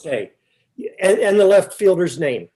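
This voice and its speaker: A performer acts out a confused, increasingly exasperated questioner in a stage comedy double act. The voice sits at a middle pitch and pushes insistently through each question. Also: very animated, normal speed, mellow, natural voice. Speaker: amateur readers theater performer. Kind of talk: staged comic dialogue reading.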